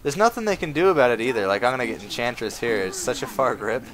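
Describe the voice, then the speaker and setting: A man talking casually over his gameplay footage, rambling with lots of fillers, his voice going hoarse. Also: medium pitch, lively intonation, fast talker, rough recording. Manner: rambling, full of uh fillers, chatty. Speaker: gaming video maker. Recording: game music playing underneath. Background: game music.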